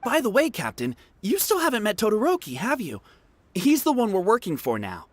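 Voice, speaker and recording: An actor voicing an earnest, excitable young war veteran in a video game. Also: male voice, high voice, brisk, no echo, exaggerated voice. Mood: friendly, explaining.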